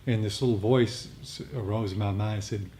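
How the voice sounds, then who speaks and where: A man leading a meditation group, speaking off the cuff in a reflective, halting way with repeated phrases. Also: lowish voice, steady tone, dark tone. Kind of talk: unscripted reflective talk on meditation practice. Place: meditation group meeting room. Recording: noisy live room recording.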